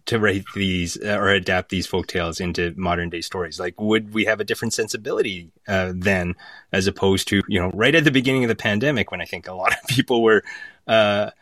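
Deep male voice, conversational interview guest, hesitant, thoughtful, dry close recording. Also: fairly even intonation, a little fast, a bit bright, no room sound. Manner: hesitant, thoughtful, searching for words. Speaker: podcast guest from a theatre company.

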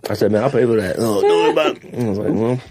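A man co-hosting a podcast, teasing his friends in loose banter and laughing loudly. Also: rapid-fire, heavy background noise. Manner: teasing, laughs loudly, lively swings in pitch. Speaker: podcast co-host. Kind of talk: casual banter.

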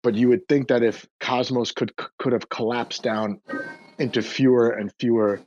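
A man's voice: medium pitch, fairly even intonation, normal speed, a little mellow, faint music behind. A man speaking as a guest in a casual online audio chat, often repeating and stumbling over his words. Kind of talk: casual Twitter space chat. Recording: dull, dry lo-fi mic.

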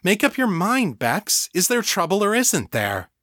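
A proud, headstrong woman in an audiobook story, put on by a man. She is blunt and defensive, hitting her words emphatically with big pitch swings, recorded close and dry. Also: animated, normal speed, a bit bright, no room sound. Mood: teasing, exasperated.

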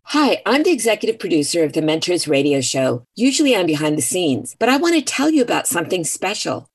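Woman doing a radio ad, low lively voice, bit of vocal fry. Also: fast talker, soft background music. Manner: upbeat, persuasive. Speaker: executive producer reading an ad. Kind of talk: scripted radio ad read.

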